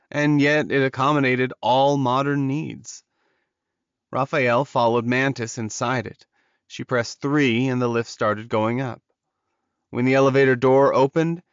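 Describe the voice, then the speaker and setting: Guy reading a fantasy audiobook, dramatic story narration, mid pitch, dry sound but a bit dull and lo-fi. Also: no echo.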